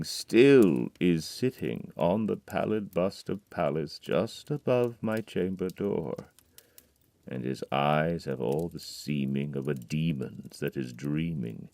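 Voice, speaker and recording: A man voice acting a grieving, haunted man in an audio drama, reading dramatic verse that swings from hushed brooding to loud, pleading outbursts. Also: low-pitched, vocal fry, no echo, full character voice.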